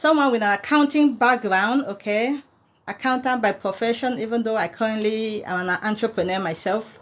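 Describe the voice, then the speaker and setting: A woman's voice: fairly high pitch, moderate pace, a little hiss or hum. A woman from Cameroon in her thirties, chatting casually to viewers about money and thanking them by name as she goes. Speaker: personal finance content creator. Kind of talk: casual livestream talk to viewers.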